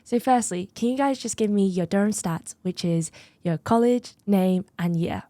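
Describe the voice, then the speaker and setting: A young woman hosting a casual student interview, asking brisk friendly questions. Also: medium pitch, warm tone, no room sound. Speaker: student host.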